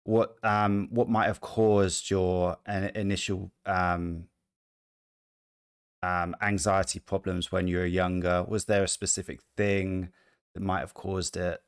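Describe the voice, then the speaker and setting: A man's voice: deep voice, flat delivery, slow pace, smooth. A man asking interview questions, hesitant and searching for his words.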